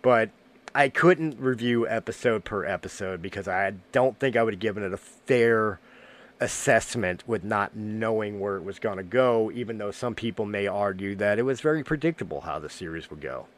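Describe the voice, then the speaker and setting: A man's voice: fairly low pitch, expressive, normal speed. A man in his forties, getting over a cold, giving a rambling casual review with lots of filler words and self-corrections. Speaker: fan reviewer.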